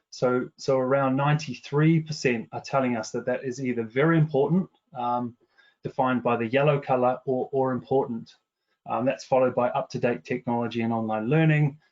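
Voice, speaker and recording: Man, New Zealand accent softened by years in England, mid-pitched, hesitant presenter, muffled online audio. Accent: New Zealand, partly anglicized after years in England. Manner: many fillers and restarts, measured business tone. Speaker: commercial director presenting research. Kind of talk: webinar presentation.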